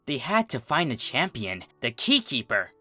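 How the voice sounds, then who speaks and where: Male narrator, bright and expressive, for theatrical fantasy storytelling with deliberate delivery. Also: mid-range voice, no echo, faint music behind. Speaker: fan fiction reader, narrator. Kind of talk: dramatic scripted story narration.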